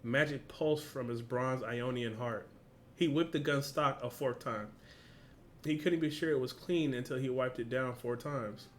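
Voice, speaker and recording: A man's voice: medium pitch, unhurried. A man speaking informally and with slang, as a reaction host who reads a story aloud and comments casually. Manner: informal, slangy, swearing, exclamatory asides. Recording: clear background noise.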